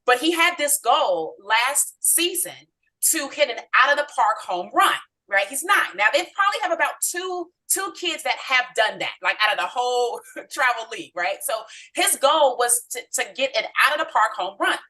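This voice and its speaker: Bright-voiced mother giving a pep talk. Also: high voice, expressive, normal speed, far from the mic. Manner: conversational, checks in with "right?". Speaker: team leader on a training call. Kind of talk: motivational talk. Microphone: video call.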